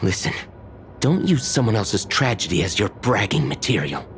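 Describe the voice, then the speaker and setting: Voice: medium pitch, big pitch swings, moderate pace, a little hiss or hum. An actor playing a condescending, teasing research colleague in a video game, chatty and scoffing with exaggerated delivery. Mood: gossipy, mocking. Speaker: researcher character. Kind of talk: animated video game dialogue.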